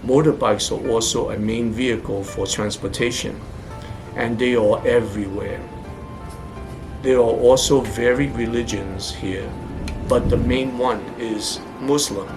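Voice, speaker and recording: A man reading scripted travel narration in a deliberate, explanatory way. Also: mid-range voice, fairly even intonation. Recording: voice over clear background music. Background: music.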